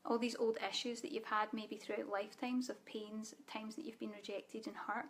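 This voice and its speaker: Soft-spoken Scottish woman, even tone. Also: a little high, normal speed. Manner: soft guided-meditation delivery with fillers. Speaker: spiritual teacher. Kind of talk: live online group training.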